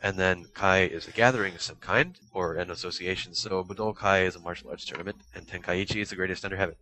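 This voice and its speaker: A man's voice: low-pitched, fairly even intonation, quick pace, pushed and bright, poor audio. A man co-hosting a podcast, explaining terms in a precise, slightly pedantic way. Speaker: podcast co-host. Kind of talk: explaining terms in casual discussion.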